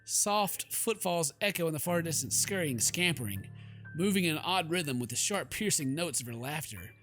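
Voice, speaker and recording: A man's voice: fairly high pitch, expressive, moderate pace, a bit bright, soft background music. A man hosting a game playthrough, goofy and theatrical, mixing commentary with dramatic read-aloud narration. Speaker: gaming video host.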